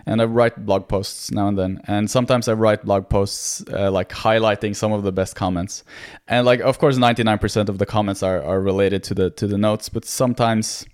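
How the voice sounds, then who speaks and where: A young man in his twenties speaks English with a Norwegian accent, his first language still showing. His voice sits a little low, and he talks in an earnest, self-effacing way, often stopping to restart a phrase. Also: steady tone, a little fast, hardly any pauses, a little mellow, clean tone. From Norway. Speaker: podcast guest, medical student. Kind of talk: casual interview chat.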